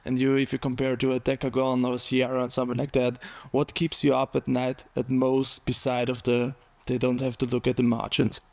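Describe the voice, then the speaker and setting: A man's voice: medium pitch, completely flat delivery, brisk, gravelly, hardly any pauses, a little mellow. A man, a startup co-founder, putting a question to a speaker from the audience.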